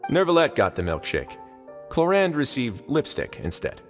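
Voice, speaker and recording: An actor playing a wry, composed prison warden in a video game, sardonic and politely formal. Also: male voice, medium pitch, huge pitch swings, moderate pace, long reverb tail, faint music behind. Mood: wry, easygoing. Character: wry, composed adult male prison warden with dry humour.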